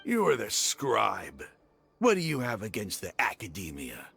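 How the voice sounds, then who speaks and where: An actor playing a proud, hostile, zealous desert mercenary leader in a video game, scornful and grandiose in a theatrical way. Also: male voice, lowish voice, huge pitch swings, unhurried, gravelly, fairly bright tone, heavily acted. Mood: suspicious, challenging. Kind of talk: dramatic video game voice acting. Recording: background music under the voice.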